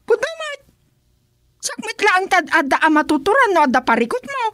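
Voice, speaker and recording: A woman's voice: very high voice, very animated, moderate pace, a bit bright, no echo, studio quality, heavily acted. A woman with an Ilocano accent playing a nagging, scolding wife in a radio drama.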